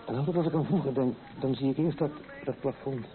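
A man's voice: mid-range voice, fast talker, narrow, tinny audio, close to an everyday voice. An actor playing a young husband and expectant father in a radio play, affectionate and eager, talking in an intimate, conversational way. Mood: nostalgic. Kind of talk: naturalistic radio play dialogue. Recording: thin old radio recording, music behind.

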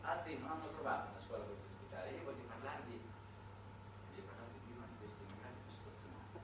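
A man's voice: mid-range voice, distant mic, heavy background noise. Ironic male monologuist in very echoey room. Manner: ironic, halting dramatic delivery. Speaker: monologue actor and narrator. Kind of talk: satirical theatrical monologue. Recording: very echoey room, recorded from afar.

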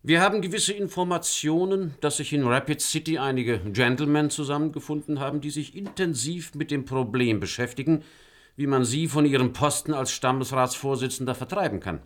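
An actor playing a smooth, calculating corporate manager in a German radio drama, polite and insinuating. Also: male voice, a little high, fairly quick, close to an everyday voice. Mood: persuasive, insistent.

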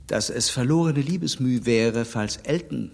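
An actor playing a stern gentleman who lectures a young woman in a radio play, speaking firmly and reproachfully. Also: medium pitch, measured pace, vocal fry, big-room sound. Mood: warning. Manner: firm, reproachful, emphatic.

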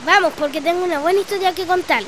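A small Chilean boy in a radio drama, curious and outspoken. The voice is extremely high, with faint outdoor noise behind it. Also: fast. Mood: eager.

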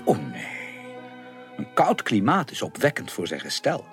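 A courteous, deep-voiced gentleman, loud music. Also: wildly swooping pitch, vocal fry, heavily acted. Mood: courteous, composed. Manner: polite, formal, measured phrasing. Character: courteous, composed, kindly gentleman traveller. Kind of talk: 1980s Dutch radio drama acting. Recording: radio drama with loud music behind.

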